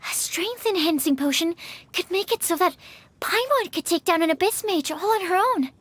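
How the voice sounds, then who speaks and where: A woman's voice: very high-pitched, expressive, normal speed, a bit bright. A woman voicing an earnest, studious young female alchemist in a video game, explaining things encouragingly. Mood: thoughtful.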